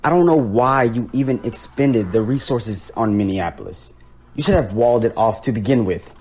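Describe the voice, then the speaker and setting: A man's voice: medium pitch, normal speed, darkish tone, slightly rough, lightly acted. A man playing a hard, critical official in an audio drama, speaking reproachfully. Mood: disapproving. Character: critical male visiting official, hard and disapproving.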